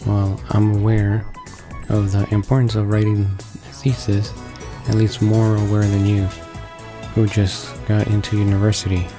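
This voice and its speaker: A man's voice: fairly low pitch, level tone, moderate pace. A man hosting a playthrough, reading a visual novel aloud and stumbling now and then over words. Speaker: let's play host. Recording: voice-over with game music behind.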